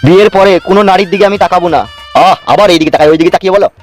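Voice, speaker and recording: A man's voice: high-pitched, fairly even intonation, rapid-fire, close to an everyday voice. A man voicing a bossy, scolding wedding priest in a comedy cartoon, chanting and instructing.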